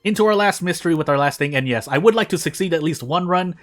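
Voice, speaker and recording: A man's voice: fairly high pitch, animated, quick pace, soft background music. A man hosting a gaming channel, giving casual, rambling gameplay commentary with self-deprecating asides.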